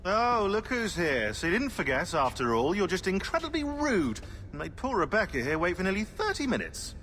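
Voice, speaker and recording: A man's voice: high voice, sing-song, a little fast, a bit bright. A man playing a sarcastic, snippy British barista in a video game scene, with a fussy, rude manner. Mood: snide, scolding. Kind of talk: video game cutscene acting. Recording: long reverberant hall, faint noise.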